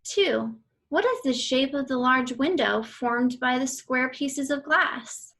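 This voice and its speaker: A woman reading aloud an educational book for children in a deliberate, instructional way. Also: sits high, fairly bright tone. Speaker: read-aloud reader for children.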